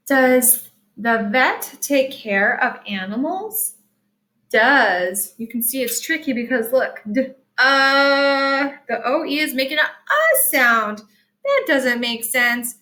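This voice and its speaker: A woman's voice: high-pitched, pushed and bright, roomy sound. A woman teaching young children to read, patiently spelling and explaining in a sing-song teacherly way with careful, emphatic enunciation. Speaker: early-grade reading teacher. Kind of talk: sight-word lesson and picture book read-aloud for young children.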